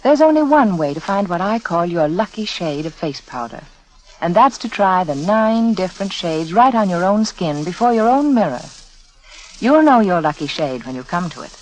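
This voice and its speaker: A woman's voice: fairly low pitch, very animated, moderate pace, rough recording. A woman delivering a scripted 1940s radio beauty advertisement in a confiding, persuasive manner. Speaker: sponsor spokeswoman, beauty adviser. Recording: vintage 1940s radio broadcast recording.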